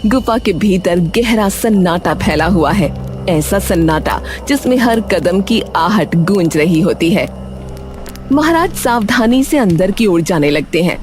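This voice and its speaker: A woman reading scripted, dramatic narration for an animated story, with music behind her. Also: on the low side.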